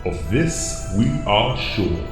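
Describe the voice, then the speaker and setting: A grave, commanding alien mentor played by a man gives formal, measured orders. The voice rings with long reverb, and loud music plays behind it. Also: fairly low pitch, big pitch swings. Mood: solemn, commanding. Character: solemn male alien mentor commanding young heroes. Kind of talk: audio drama acting.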